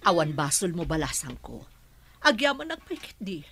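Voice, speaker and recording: A woman playing a worried, protective middle-aged mother in a Filipino radio drama, fretful and expressive. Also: on the low side, normal speed, breathy edge, heavily acted. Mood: concerned, grateful.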